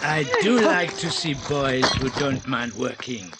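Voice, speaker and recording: An actor playing a smug, suave art thief with formal phrasing in an audio drama. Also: male voice, medium pitch, lively intonation, measured pace, close to an everyday voice. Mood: gloating. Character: gloating, suave French art thief, cultured villain. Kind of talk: 1980s British audio drama. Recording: noisy old tape with clicks.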